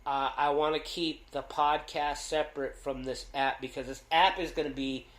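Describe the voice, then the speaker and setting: A man co-hosting a casual podcast, loud-voiced and rambling, with lots of fillers and restarts. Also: a little high, little pitch movement, normal speed, roomy sound. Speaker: podcast co-host.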